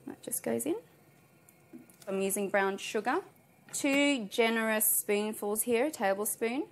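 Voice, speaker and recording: Chatty Australian woman cooking at home. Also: medium pitch. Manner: chatty, with frequent fillers. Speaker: cooking show contestant. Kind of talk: casual explanation while cooking. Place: home kitchen indoors, later a restaurant kitchen.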